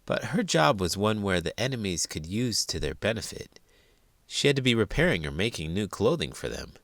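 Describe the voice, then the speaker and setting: A man's voice: lowish voice, big pitch swings, normal speed, a bit bright, no echo. An American man hosting a read-aloud, narrating a children's history picture book.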